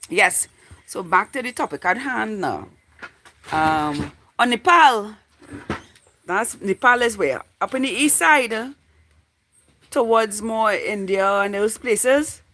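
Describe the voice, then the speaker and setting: A woman's voice: mid-range voice, animated, takes it slowly, long pauses, a bit bright. A woman in her forties with a Trinidadian accent, chatting casually to viewers as a livestream host in a rambling, repetitive way. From Trinidad and Tobago. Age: 40s. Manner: rambling, repeats phrases while thinking aloud. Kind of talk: casual live chat with viewers.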